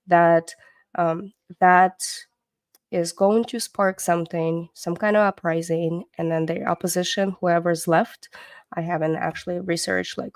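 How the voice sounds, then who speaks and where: A woman with a low, gravelly voice, Russian her first language, talks to her stream viewers in a rambling, halting way. She restarts her sentences often and fills the gaps with hesitations. The sound is dry and close, with no room around it. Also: no room sound. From born in the USSR, Ukrainian background. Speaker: livestream host. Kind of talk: casual livestream commentary.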